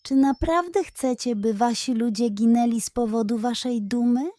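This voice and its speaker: A woman's voice: on the high side, moderate pace, mellow, dry recording, studio quality, close to an everyday voice. A woman voicing a brave, compassionate little girl in a children's storybook. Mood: pleading.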